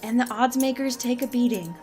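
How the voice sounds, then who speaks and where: A woman's voice: on the high side, fairly quick, a little mellow, faint music behind, natural voice. A woman playing the wry director of a secret organisation in a dry comedic audio drama. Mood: wry, understated.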